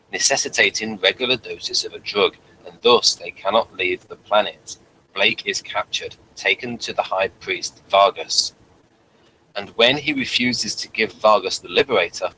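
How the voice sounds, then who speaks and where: A man with a bright, forward voice hosts an informal review show. He talks in a halting, digressive manner and corrects himself as he goes. There is light noise behind him. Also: fairly low pitch, poor audio. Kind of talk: informal review chat, reading out a summary.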